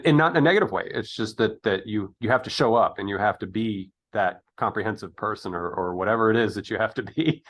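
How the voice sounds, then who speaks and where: A man's voice: on the low side, gravelly, fairly bright tone. A man in his fifties hosting a casual interview, talking in a rambling, tangent-prone way. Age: 50s. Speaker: interview host.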